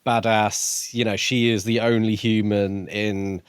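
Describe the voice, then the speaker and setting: A man co-hosting a podcast, talking casually about books in halting, thoughtful short phrases. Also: lowish voice, level tone, clean tone, no echo. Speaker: podcast co-host. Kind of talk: casual book discussion.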